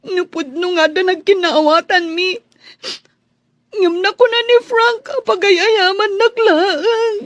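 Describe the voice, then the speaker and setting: An actress with a Filipino accent playing a heartbroken young housemaid in a radio drama, plaintive and weepy. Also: female voice, very high voice, steady tone, takes it slowly, dry recording. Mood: tearful, hurt. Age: young adult. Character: heartbroken young housemaid in love, tearful and wounded.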